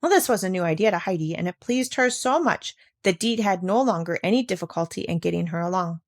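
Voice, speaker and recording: A woman reading a children's classic aloud as expressive scripted narration. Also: fairly low pitch, fast, no echo, studio quality. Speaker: audiobook reader.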